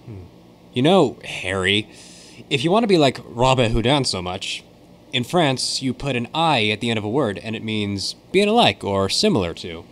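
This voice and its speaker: A man's voice: mid-range voice, very animated, normal speed, fairly bright tone, slight background noise. A man playing an earnest, bookish young factory worker friend in a radio drama.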